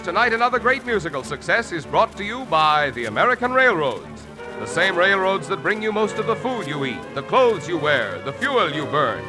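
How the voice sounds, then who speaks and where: A male announcer presents a 1950s radio program in a high, sweeping voice. Music plays behind him on the vintage broadcast.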